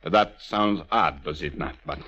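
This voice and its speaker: A man's voice: deep voice, takes it slowly, bright, forceful tone, heavily acted. An actor playing a coldly formal, evasive foreign male suspect with stilted, guarded speech in a 1940s radio drama. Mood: guarded, polite. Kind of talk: 1940s radio villain acting. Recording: dull band-limited old radio recording.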